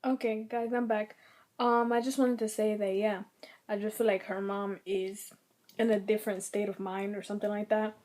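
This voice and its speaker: A young woman with a slightly raspy throat, giving earnest, rambling commentary on her own vlog channel. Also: mid-range voice, level tone, moderate pace, darkish tone. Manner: earnest, rambling, many fillers. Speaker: vlogger.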